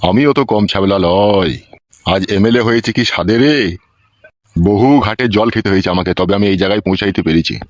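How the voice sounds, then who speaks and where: A man's voice: on the low side, quick pace, no echo, muffled recording, close to an everyday voice. A man playing a sleazy, bossy village politician in a comic cartoon, speaking rustic slang. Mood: boastful.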